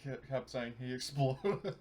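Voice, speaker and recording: A man's voice: mid-range voice, expressive, measured pace, darkish tone. A man playing a tabletop roleplaying game, chatting casually and explaining in a rambling way. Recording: faint background noise.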